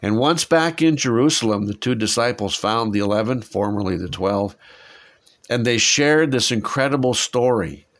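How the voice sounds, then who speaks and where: A man's voice: medium pitch, lively intonation, normal speed. A man with a head cold and lingering cough, teaching a Bible passage in a conversational way and asking his listeners rhetorical questions. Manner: warm, musing, asks listeners rhetorical questions.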